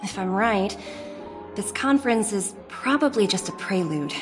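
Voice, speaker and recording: A woman's voice: mid-range voice, animated, measured pace, close to an everyday voice. A woman voicing a poised, shrewd young executive in a studio game, confident and lightly teasing. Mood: calm confident. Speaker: game character. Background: game music.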